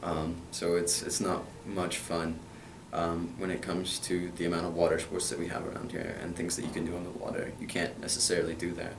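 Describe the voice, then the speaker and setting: Low-voiced teen guy from kentucky, earnest, umms a lot, roomy echo, faint hiss. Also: monotone, fairly quick, creaky, few pauses, far from the mic. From Kentucky, USA. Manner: earnest, many hesitant fillers. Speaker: interviewee, international school student. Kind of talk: answering interview questions.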